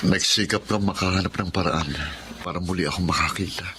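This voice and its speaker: A man playing a sorrowful, grateful husband in a Filipino radio drama, gentle and downcast. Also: low-pitched, fairly even intonation, brisk, noisy background, natural voice.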